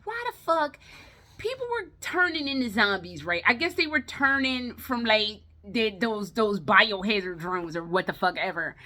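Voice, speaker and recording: A woman's voice: mid-range voice, expressive, moderate pace, sharp tone. A woman giving casual, chatty commentary in a blunt, exasperated way, with plenty of slang and swearing. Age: adult, no longer young. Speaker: vlogger reviewing films.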